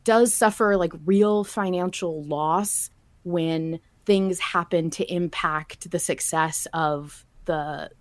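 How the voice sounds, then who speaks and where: Woman, lower-pitched voice, podcast host, casual chat, very dry recording. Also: takes it slowly, no echo.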